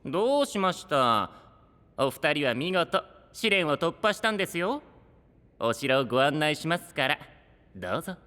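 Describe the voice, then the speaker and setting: A courteous anime trickster, high and bright with swooping, sing-song teasing. A long hall reverb trails the voice. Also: male voice, sing-song, normal speed. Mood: smug. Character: playful, polite, mischievous male trickster host. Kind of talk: Japanese anime-style voice drama acting.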